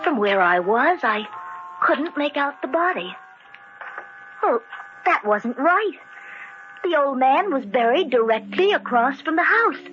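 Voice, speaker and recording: A woman's voice: high-pitched, big pitch swings, unhurried, long pauses. An actress playing a guilt-ridden, frightened woman murderer in 1940s radio thriller style, breathless and melodramatic. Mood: haunted, anxious. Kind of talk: 1940s radio thriller acting, first-person narration. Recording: muffled old radio recording, loud music behind.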